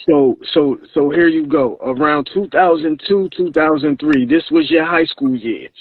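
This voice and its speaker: A man's voice: on the high side, a little slow, narrow, tinny audio, a crowd in the background. A man in his thirties, hosting a casual podcast chat, repeating words as he builds his point. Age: 30s. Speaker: podcast host.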